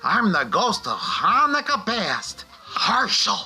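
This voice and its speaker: Cheeky Hanukkah spirit, very sharp forceful voice, lively swooping pitch, brash teasing delivery, comic radio play. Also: male voice, slow pace, slightly rough, exaggerated voice. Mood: brash, wisecracking.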